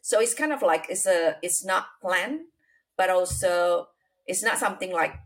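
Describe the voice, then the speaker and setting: A middle-aged woman from Indonesia with an Indonesian accent, telling her life story as an interview guest, unhurried and full of fillers. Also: fairly low pitch, expressive, poor audio. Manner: unhurried, many fillers and restarts, non-native grammar.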